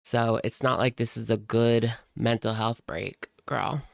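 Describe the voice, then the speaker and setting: A woman's voice: rumbling low, steady tone, a little slow, creaky, dry recording, clean studio sound. A woman doing casual reaction commentary in a sassy, slangy way. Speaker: reaction channel host.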